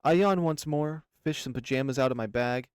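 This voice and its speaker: A man's voice: mid-range voice, fairly quick, clear, resonant tone, no echo, studio quality. A man reading a visual novel aloud as first-person narration for a channel. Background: music.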